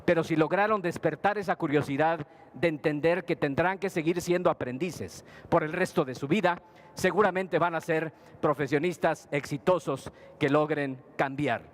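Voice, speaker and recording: A man delivering a formal conference speech in a steady, measured way, with a few brief hesitations. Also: fairly high pitch. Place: conference hall panel.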